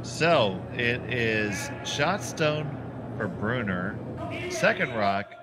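A man's voice: mid-range voice, animated, drawn-out words. Man, philadelphia accent, curling play-by-play, joking banter, noisy background. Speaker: play-by-play commentator. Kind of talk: sports commentary.

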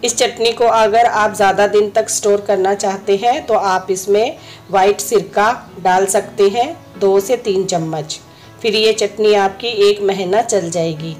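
A woman with a slightly hoarse throat, a home cooking host, calmly explaining each step of a recipe in her kitchen. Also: mid-range voice, unhurried, faint music behind. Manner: instructive, friendly, repeats steps.

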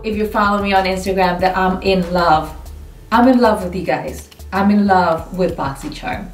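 Chatty woman in her forties, roomy sound. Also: mid-range voice. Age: 40s. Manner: chatty and animated, swears mildly, hands moving. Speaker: beauty vlogger. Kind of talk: casual product review to camera. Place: indoor studio, dark backdrop. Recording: roomy, a bit far from mic.

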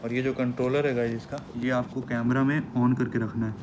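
A man's voice: mid-range voice, a little fast. A young man in a casual vlog, walking viewers through a gear setup step by step, chatty and repetitive, calling them "guys". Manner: chatty, repeats words, says "guys" often. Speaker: motovlogger. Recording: steady background noise.

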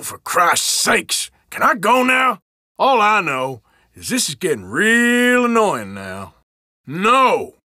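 An actor playing an irritable, wary Old West outlaw in a video game, with a rural American drawl and a folksy, sardonic, defensive manner. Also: male voice, high voice, sharp tone, no echo, clean studio sound, exaggerated voice. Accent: American, rural Western drawl. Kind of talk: game voice lines, short barks.

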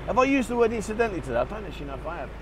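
A man's voice: sits high, very animated, racing. Chatty man vlogging from a motorbike. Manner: chatty, rambling, swears casually. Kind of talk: casual running commentary. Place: outdoors on a motorbike in town traffic, rain. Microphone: action camera held in hand. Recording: street and traffic noise behind.

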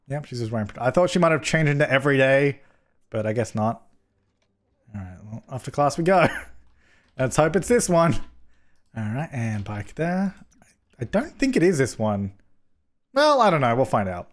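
A young man with a slightly hoarse voice from a cold, giving jokey, chatty commentary while playing a life-simulation game and scolding his characters, recorded on a headset mic at home. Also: on the high side. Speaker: gaming YouTuber. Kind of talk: casual let's-play commentary.

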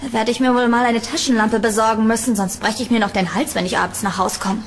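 Young student, played by a woman: high voice, expressive, horror radio play, faint ambience behind. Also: fast, a little hiss or hum, lightly acted. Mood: puzzled, drowsy. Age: young adult. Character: chatty, upbeat young female student. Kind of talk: German audio horror drama acting.

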